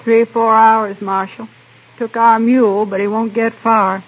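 An actress playing a hard, stoic frontier homestead wife with husky, folksy, clipped speech in an old radio western. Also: female voice, a little high, level tone, a little slow. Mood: bitter, stoic. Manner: blunt, folksy, clipped phrases. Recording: narrow-band vintage radio recording.

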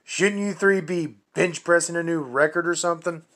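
An earnest young man who stands up for others, played by a man in an audio drama. His voice is fairly high and forward, with a bright edge and an assertive manner. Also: fairly even intonation, normal speed. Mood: stern. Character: serious, protective, optimistic young pizza restaurant worker. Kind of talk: amateur audio drama acting.

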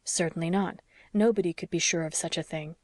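Lady reading as a calm stubborn young doctor guy in an audiobook, formal and reasoning, very dry sound. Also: fairly low pitch, no echo, natural voice. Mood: firm, reasoning. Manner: measured, formal, slightly stiff. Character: earnest young male doctor, stubborn, calmly reasoning husband. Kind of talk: audiobook character dialogue.

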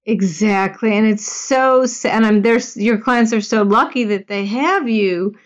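A woman's voice: medium pitch, measured pace. Woman talking sex ed, earnest, lots of you-knows, kinda dull lo-fi audio. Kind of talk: conversational podcast chat.

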